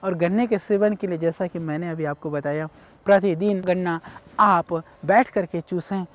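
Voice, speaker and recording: A man from India giving a measured, explanatory health talk on ayurveda, speaking directly to viewers. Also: sits high. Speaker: ayurveda teacher.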